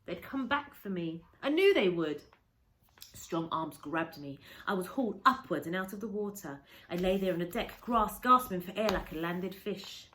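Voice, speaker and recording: A woman teacher reading a children's story aloud, dramatic and emphatic, with deliberate pauses and shifts in volume. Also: lowish voice, animated, a bit bright, a little hiss or hum, poor audio. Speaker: primary school teacher reading to a class.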